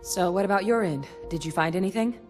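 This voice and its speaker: A woman's voice: mid-range voice, fairly quick, a little mellow, faint music behind, lightly acted. An actress playing a resolute, businesslike female scientist in a video game, speaking in a direct, matter-of-fact way. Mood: brisk, focused. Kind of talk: video game character dialogue.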